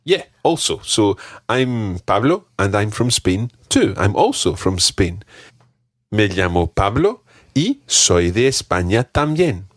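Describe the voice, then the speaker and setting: A man teaching on a podcast. His voice sits low but swings widely in pitch as he coaches, and he takes his time, speaking at an unhurried pace. The recording is dry and close, with no room sound. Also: big pitch swings, no echo. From Scotland. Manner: patient, slow model pronunciation with repeated drills. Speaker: language teacher. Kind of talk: teaching a podcast language lesson.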